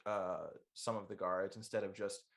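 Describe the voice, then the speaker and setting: A man playing in a tabletop game, talking casually and excitably with lots of fillers and restarts. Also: low voice, moderate pace. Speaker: player. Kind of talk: casual post-game chat.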